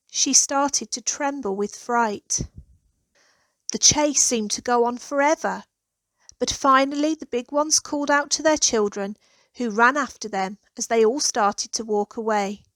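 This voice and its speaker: A woman narrating a children's storybook in an expressive, deliberate storytelling manner. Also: on the high side, dry recording. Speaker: author reading own children's story.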